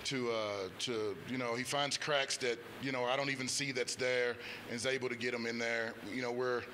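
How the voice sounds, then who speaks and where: Bright-voiced middle-aged male coach. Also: medium pitch, level tone, normal speed. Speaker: college basketball head coach. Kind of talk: casual post-game interview answers. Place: indoors before a press backdrop. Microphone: handheld microphone. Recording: faint background noise.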